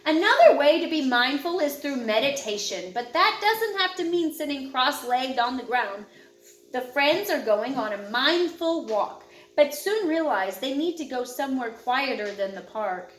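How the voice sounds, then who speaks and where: A woman narrating a children's picture book in a measured, instructional way, phrasing clearly for young listeners. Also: high-pitched, animated, a bit bright, echoey room. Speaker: audiobook narrator.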